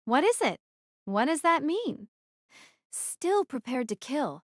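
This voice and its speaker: Woman acting guarded teen heroine, first-person audiobook drama, higher pitch, very dry sound. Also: sing-song, takes it slowly, no echo, heavily acted. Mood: wary, tense. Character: guarded, wary teenage girl archer narrating her story.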